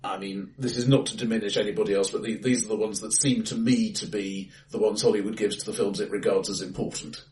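A man with a lowish voice chats about films as a podcast host, measured and analytical with some hesitation. He sits in an echoey, bright-sounding room, some way from the mic. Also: steady tone, distant mic. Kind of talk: casual film discussion. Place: indoors, reverberant room, face to face.

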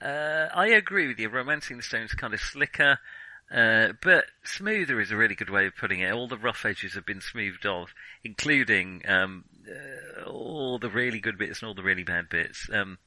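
A man's voice: lowish voice, lively intonation, normal speed, bright, forceful tone, no echo, low-quality recording. A man co-hosting a casual film discussion podcast, speaking in a hesitant way and often qualifying what he says.

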